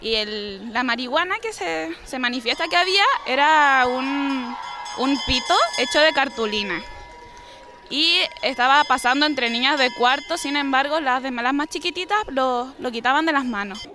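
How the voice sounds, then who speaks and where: A teenage student explaining things hesitantly in a street interview on a handheld mic. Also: female voice, sits high, normal speed. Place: outdoors on a city street. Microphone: handheld reporter mic.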